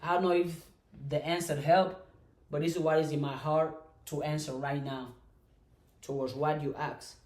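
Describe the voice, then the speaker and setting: A woman hosting a motivational live stream, chanting affirmations and answering questions in a slow, repetitive way. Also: deep voice, level tone, a little mellow. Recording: echoey room.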